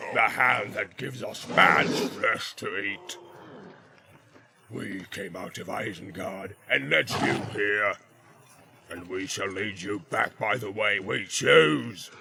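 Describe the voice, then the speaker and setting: Brief: man doing a growling orc warlord, gravelly and slow, bellowing commands, heard from a distance. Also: heavily acted. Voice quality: deep growl. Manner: barking orders, shouting. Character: brutal male orc captain, commanding and boastful.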